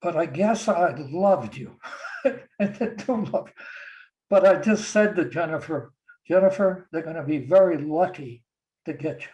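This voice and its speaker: An older man tells family stories in an unhurried, tender way, pausing and chuckling softly as he remembers. His voice sits a little high for a man. He speaks over a video call. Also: low-quality recording. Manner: slow, gentle, warm storytelling with soft chuckles. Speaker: guest sharing memories. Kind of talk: casual reminiscing.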